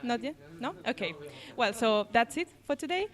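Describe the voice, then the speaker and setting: A woman's voice: fairly high pitch, expressive. A woman interviewing a singer in a chatty, agreeable way. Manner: chatty, quick agreeing fillers. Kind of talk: casual interview chat.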